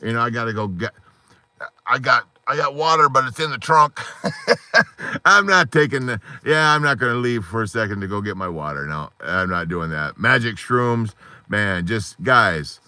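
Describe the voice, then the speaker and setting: A man hosting a support group live stream, giving a fervent, rambling pep talk full of metaphors, his voice hoarse, from inside a parked car at night on a phone. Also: medium pitch, lively intonation, normal speed, pushed and bright, dry recording.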